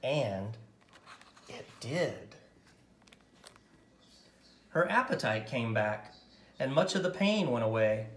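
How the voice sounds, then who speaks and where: A man reading a picture book story aloud in a gentle, measured way with dramatic pauses. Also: a little high, echoey room. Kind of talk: scripted story narration, read aloud.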